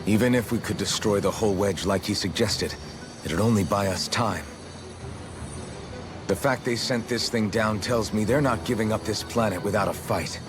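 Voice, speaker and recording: Low-voiced, composed fantasy hero played by a man. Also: moderate pace, over music, close to an everyday voice. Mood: serious, explaining. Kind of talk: English dubbed video game cutscene acting.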